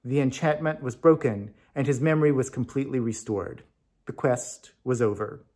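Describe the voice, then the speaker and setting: A man reading a storybook tale aloud as narrator, in an expressive scripted style. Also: mid-range voice, normal speed. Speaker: story reader.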